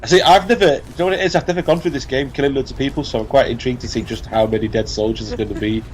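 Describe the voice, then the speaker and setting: A man's voice: mid-range voice, steady tone, rapid-fire, rough recording. A British man chatting casually as a let's play commentator, opinionated and sweary. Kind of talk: casual banter over gameplay. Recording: background game noise behind voice. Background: video game sound.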